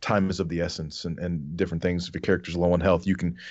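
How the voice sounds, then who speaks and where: A man's voice: low voice, level tone, fast, a little mellow, husky, dry recording. A man chatting informally and enthusiastically about games as a podcast panelist, on a dull-sounding microphone. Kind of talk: casual chat about games.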